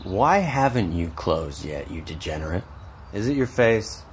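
A man's voice: sits low, very animated, unhurried. A cocky, sarcastic American teenage boy. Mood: mocking. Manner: sarcastic, bantering. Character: cocky, teasing, foul-mouthed teenage boy, loyal boyfriend. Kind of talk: naturalistic audio drama acting. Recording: clear background ambient noise.

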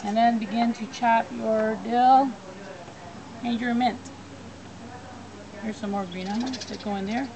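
A woman's voice: fairly high pitch, fairly even intonation, measured pace, pauses often, dark tone, low-quality recording. A woman giving plain step-by-step cooking instructions in a backyard. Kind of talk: cooking demonstration. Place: backyard outdoors. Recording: outdoor background noise.

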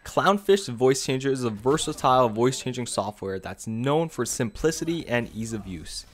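Man narrating a casual scripted tech review. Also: mid-range voice. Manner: casual, addresses viewers directly. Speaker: video creator and presenter. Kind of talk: scripted software review voice-over.

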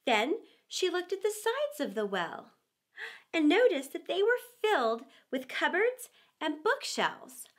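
A middle-aged woman reading a children's story, theatrical and deliberate with dramatic pauses. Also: very high voice, sing-song. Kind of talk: expressive storybook narration.

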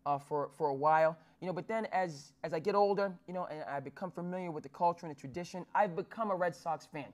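A man's voice: fairly high pitch, fast. A man in his thirties from New Jersey hosting a sports talk show, using formal phrasing with frequent fillers and restarts. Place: small studio with dark backdrop.

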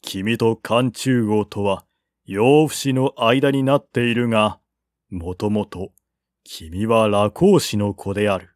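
Slow, persuasive turncoat general read by a man. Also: mid-range voice, darkish tone, dry recording, clean studio sound, close to an everyday voice. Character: persuasive turncoat general writing to a former comrade.

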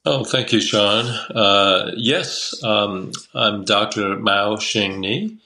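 A man's voice: fairly low pitch, extremely slow, pushed and bright. A man in his sixties from Taiwan, a doctor as the guest, talking casually over a video call from home with many fillers and restarts. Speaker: guest, Chinese medicine doctor. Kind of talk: casual interview talk. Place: home room by a window. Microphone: video call webcam.

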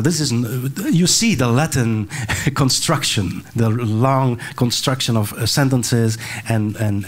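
An older man introducing and interviewing an author on stage, earnest, with restarts and fillers, into a handheld mic. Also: mid-range voice. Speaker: moderator. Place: stage of a large hall.

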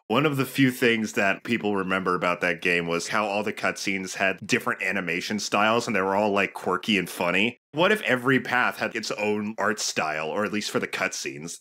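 A man co-hosting a let's play with lively, joking casual commentary. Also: fairly low pitch. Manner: animated, joking.

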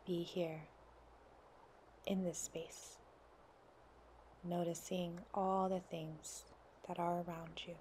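Slow, soft-spoken woman meditation guide. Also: lowish voice, flat delivery, a little hiss or hum. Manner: soft, slow and soothing, drifting to near whispers.

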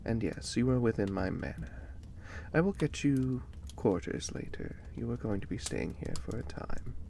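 A man voicing a suave, gently possessive vampire catboy in a soothing ASMR roleplay, breathy and often whispering, with formal, deliberate phrasing. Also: mid-range voice, lively intonation, a little hiss or hum. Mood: smooth, commanding. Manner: slow, deliberate, formal, reassuring.

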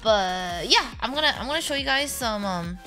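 Chatty young woman, high voice. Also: normal speed, faint music behind. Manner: chatty, swearing, swings from excited squeals to flat deadpan asides. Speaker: VTuber streamer. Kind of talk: casual storytelling vlog.

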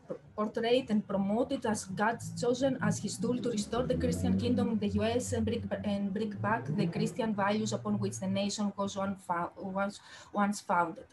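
A woman lecturer with a Greek accent presenting an academic talk over slides on a video call, talking quickly with frequent restarts. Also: mid-range voice, a little hiss or hum, low-quality recording. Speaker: political science lecturer. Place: home study with bookshelves. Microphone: laptop webcam on video call.

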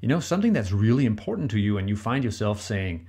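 A man's voice: fairly low pitch, very animated, fast, mellow, slightly rough. A middle-aged man with a Danish accent, whose first language is Danish, co-hosting a relationship podcast and talking earnestly and directly to listeners. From Denmark. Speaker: podcast co-host, relationship coach. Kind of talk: solo advice talk.

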